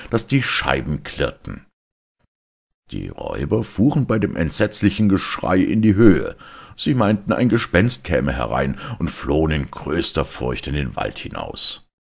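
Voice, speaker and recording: A man calmly reading a fairy tale aloud as narrator. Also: very deep voice, gravelly, slightly rough.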